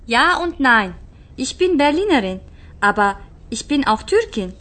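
Woman voicing a cheerful young schoolgirl, emphatic, higher pitch, language-course radio drama. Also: sing-song, a little slow, fairly bright tone, full character voice. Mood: lively.

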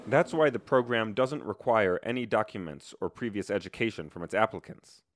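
A man reporting, reading a scripted news narration with measured, even delivery. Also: on the low side, slight background noise.

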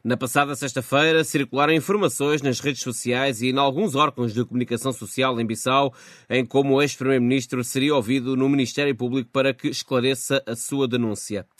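A man's voice: medium pitch, fairly even intonation, a little fast, a bit bright, dry recording. A man presenting scripted radio news as host, speaking into a studio microphone.

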